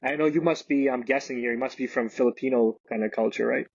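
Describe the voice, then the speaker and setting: A man's voice: mid-range voice, quick pace, creaky, a little mellow, husky, dry recording, dull audio. A man speaking as a guest in an interview, hesitant and often restarting his sentences. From Canada. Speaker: guest, realtor and investor. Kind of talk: podcast interview conversation.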